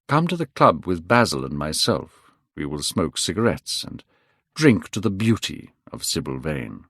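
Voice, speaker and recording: A man voicing a languid, worldly gentleman with a lowered, offhand drawl, in a dry audiobook recording. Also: sing-song, a little slow, slightly rough, no room sound, exaggerated voice.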